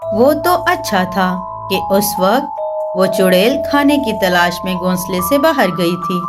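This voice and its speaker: A woman's voice: mid-range voice, expressive, measured pace. A woman reading scripted narration for a children's cartoon story as the storyteller. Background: music.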